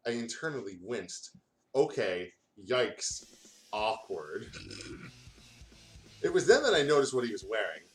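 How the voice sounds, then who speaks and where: A man's voice: medium pitch, sing-song, unhurried, long pauses, a bit bright, poor audio. A man doing a comedic read-aloud of fanfiction, stumbling over words and breaking off to comment. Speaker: fanfiction reader on a gaming show.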